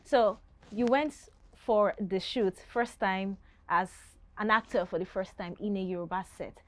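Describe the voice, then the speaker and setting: A woman's voice: medium pitch, measured pace, faint music behind. A woman interviewing a guest, asking questions in a conversational style.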